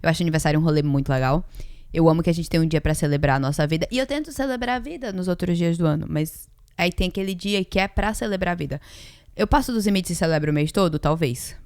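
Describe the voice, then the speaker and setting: A Brazilian woman of about thirty chatting casually as a podcast co-host, informal and quick to joke about herself. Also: on the low side, darkish tone, smooth, dry recording, studio quality. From Ceará, Brazil.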